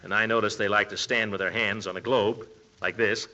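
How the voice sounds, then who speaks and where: An actor playing a pompous, fussy middle-aged American uncle running for mayor in a 1940s radio comedy. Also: male voice, lowish voice, fairly even intonation, brisk, sharp tone. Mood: fussy, self-important. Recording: dull old-time radio recording.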